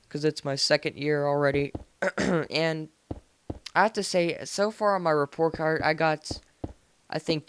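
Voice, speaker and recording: A man's voice: on the high side, smooth. A teenage American male chatting casually as he narrates a gaming video, rambling in an informal, slangy way. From United States. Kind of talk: casual game commentary. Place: voice-over recorded indoors after gameplay.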